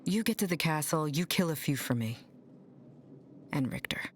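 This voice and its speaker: An actor playing a grim resistance fighter in a video game, speaking bluntly and in a hushed way. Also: medium pitch, animated, moderate pace, slight background noise, lightly acted. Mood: terse, grave. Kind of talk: video game dialogue acting.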